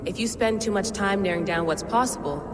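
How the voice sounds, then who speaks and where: A woman's voice: mid-range voice, fast, background noise, close to an everyday voice. An actress playing a determined, thoughtful woman adventurer in a video game, earnest and reflective, carrying some guilt. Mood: thoughtful, persuasive. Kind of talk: naturalistic video game cutscene acting.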